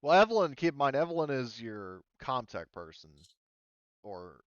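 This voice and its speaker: A man acting as game master, casually explaining a tabletop roleplaying game in a halting way. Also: medium pitch, big pitch swings, clear, resonant tone, dry recording, lo-fi sound.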